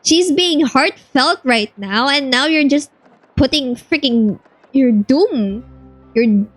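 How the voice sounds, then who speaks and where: Higher-voiced woman doing casual game reactions. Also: expressive, slow, no room sound, soft background music. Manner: informal, talks back to characters.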